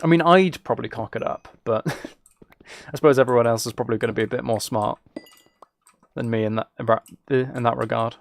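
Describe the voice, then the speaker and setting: A man's voice: medium pitch, moderate pace, gravelly. A British man streaming a game and chatting casually with viewers, musing aloud in an informal, rambling way. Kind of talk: casual chat while playing a game.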